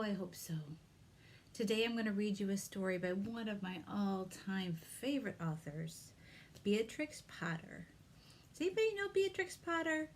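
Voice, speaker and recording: A woman's voice: mid-range voice, a little mellow, slight background noise. A woman hosting a children's storytime as a librarian, reading expressively and addressing young listeners with questions and exclamations.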